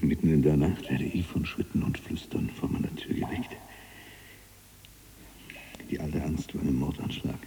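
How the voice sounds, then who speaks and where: An actor playing a learned, fearful prisoner in a brooding radio drama monologue, his husky, breathy voice halting and given to whispers. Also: a bass voice, steady tone, warm tone, a little hiss or hum. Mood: fearful, hushed.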